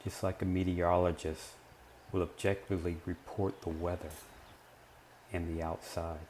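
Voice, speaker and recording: A man leading a guided meditation, speaking gently and in measured short phrases with long pauses between them. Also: low-pitched, level tone, slight background noise.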